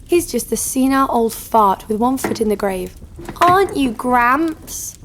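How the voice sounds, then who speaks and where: An actress playing a tough, sharp-tongued young woman fighter, narrating and speaking in an audio drama with a sardonic edge. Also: fairly high pitch, animated, measured pace, background noise. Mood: scornful. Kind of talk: audio drama first-person narration and dialogue.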